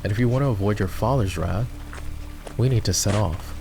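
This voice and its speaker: A male voice actor playing a gruff, sardonic fantasy knight in a roleplay ASMR, with a teasing, commanding manner. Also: on the low side, fairly quick, music in the background. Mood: mocking, impatient.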